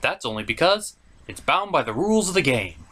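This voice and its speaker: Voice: mid-range voice, sing-song, a little fast, bright, forceful tone. A reviewer giving a scripted comedic movie recap in a sarcastic, mock-exasperated way. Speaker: host reviewer.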